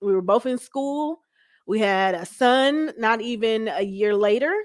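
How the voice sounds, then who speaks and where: A woman's voice: a little high, measured pace, dry recording. Brief: American woman, forties, candid conversational guest, tells stories with quoted self-talk, dry sound. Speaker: guest, pastor's wife. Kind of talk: casual interview chat.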